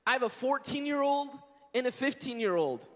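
A man in his forties, a pastor, preaching a sermon in an earnest, conversational way and telling stories, his voice hoarse and scratchy. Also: extremely high pitch, lively intonation, moderate pace, a bit bright. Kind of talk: sermon with prayer and scripture reading. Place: church sanctuary. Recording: long reverb of a large church hall.

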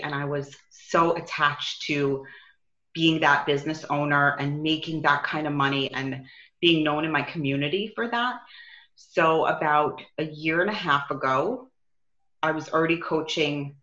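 New York woman, coach guest, bright tense tone, echoey muffled remote audio. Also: deep voice. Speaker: business and life coach, guest. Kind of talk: conversational interview. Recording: roomy, slightly dull sound.

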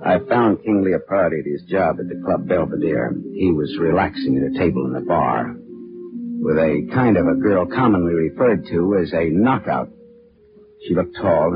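Low-voiced, wry radio private eye. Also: male voice, moderate pace. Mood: wry, brooding. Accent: American. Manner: deadpan, wisecracking. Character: wry, tough male private detective narrating his case. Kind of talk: 1940s hardboiled radio drama narration and dialogue. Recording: muffled old radio broadcast with music.

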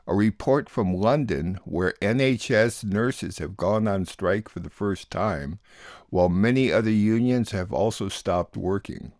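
A man hosting a news program, reading station details and introducing the next report in a measured, even way. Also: on the low side, dry recording, studio quality. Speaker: radio show host. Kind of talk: plain scripted news links.

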